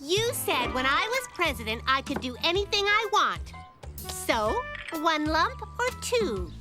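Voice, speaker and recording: A woman's voice: high voice, very animated, a little slow. Bossy smart lil cartoon girl, bouncy up and down voice, music playing underneath. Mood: smug. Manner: sassy, self-assured. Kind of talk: cartoon voice acting.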